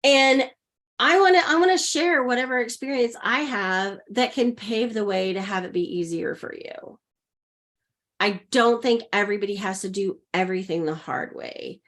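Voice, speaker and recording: A woman's voice: fairly high pitch, moderate pace, a bit bright. A middle-aged woman, a leadership coach, telling personal stories as a guest in an earnest, upbeat way and laughing often. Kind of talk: personal storytelling in conversation.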